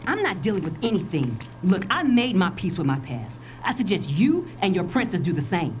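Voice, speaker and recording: Woman acting fed up mom in an audio drama, sarcastic and defiant, very lively voice, on the low side. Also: moderate pace, a little hiss or hum. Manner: sarcastic, emphatic. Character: defiant middle-aged wife and mother seeking independence.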